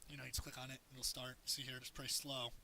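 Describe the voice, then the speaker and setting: A man with a hoarse voice narrates his own strategy game match, walking through tips in a loose, rambling way. His tone is bright and forward, with a light hiss under the recording. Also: mid-range voice, little pitch movement, fast. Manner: explains as he plays, loose and rambling. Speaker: gameplay commentator. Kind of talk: casual game tutorial commentary.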